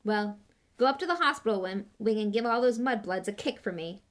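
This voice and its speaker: A woman's voice: medium pitch, animated, fairly quick, natural voice. A woman voicing a spoiled, arrogant schoolboy bully in an audiobook, scornful, impatient and boastful. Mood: snappish. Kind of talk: audiobook character voice.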